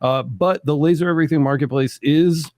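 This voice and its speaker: Podcast host, male, 30s, relaxed rambling talker, close dry mic. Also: a little high, a little fast, no echo. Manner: laid-back, rambling, lots of "like" and "dude". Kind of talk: casual chat.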